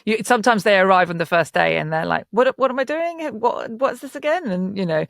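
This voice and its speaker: A man with a fairly high voice and a noticeable creak in it, recorded close and dry. He talks in a casual panel style, enthusiastic and rambling as he explains his work as a producer. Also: expressive, fairly quick, a bit bright, dry recording. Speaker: audio drama producer discussing casting.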